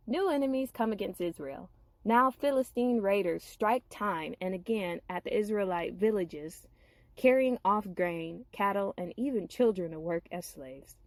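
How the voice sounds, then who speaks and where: A woman telling a Bible story in a dramatized, expressive way, with informal, reacting asides. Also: mid-range voice, no echo.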